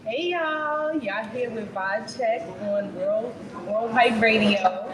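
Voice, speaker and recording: A woman's voice: a little high, slow. A woman hosting a casual radio interview, upbeat and chatty. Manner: upbeat, says y'all a lot. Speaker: radio show host. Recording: echoey with loud background noise.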